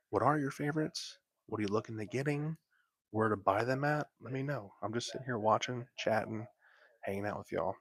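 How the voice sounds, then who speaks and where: Man whispering close to the mic. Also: lowish voice. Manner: soft whispering, laid-back and chatty. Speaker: livestream host. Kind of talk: whispered late-night livestream chat. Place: at home, lying in bed. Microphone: close microphone.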